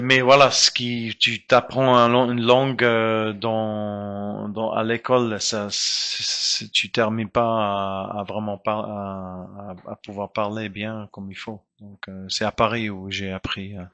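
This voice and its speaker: Guy talking slow in french, bright edgy voice, keeps restarting and hunting for words. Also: fairly low pitch, little pitch movement. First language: German. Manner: hesitant, searching for words with many restarts. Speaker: guest musician. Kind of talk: casual interview talk.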